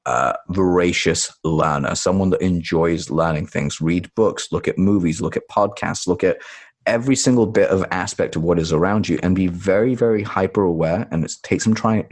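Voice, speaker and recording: A man from Tanzania, talking as a podcast guest in a relaxed, rambling way that often corrects itself. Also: sits low, normal speed. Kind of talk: podcast interview conversation.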